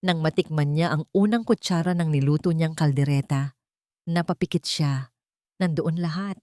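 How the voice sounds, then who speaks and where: Female Filipino narrator, lowish voice, dry close sound, measured and sentimental storytelling. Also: a little mellow, dry recording. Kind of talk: scripted dramatic story narration.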